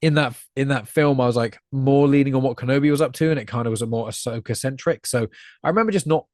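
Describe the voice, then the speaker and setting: Fast-talking, congested man. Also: medium pitch, a little mellow, dry recording, clean studio sound. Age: late 20s. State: congested from a cold and hay fever, chewing gum. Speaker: podcast guest. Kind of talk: casual chat.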